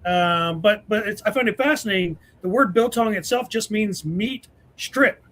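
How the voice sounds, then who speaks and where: High-pitched effusive male show host. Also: moderate pace, fairly bright tone, slight background noise. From United States. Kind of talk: casual live show introductions and chat.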